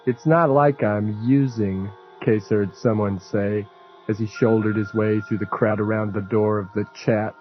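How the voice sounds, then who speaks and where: A man's voice: lowish voice, steady tone, measured pace. A man narrating literary fiction as an audiobook reader. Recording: slightly dull lo-fi audio, music underneath. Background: faint music.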